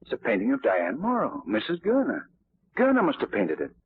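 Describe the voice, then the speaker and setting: An actor playing a composed, observant, well-bred amateur sleuth in 1940s radio mystery style, speaking in a measured, suave manner. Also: male voice, medium pitch, huge pitch swings. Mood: quietly intent. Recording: muffled, crackly 1940s radio recording.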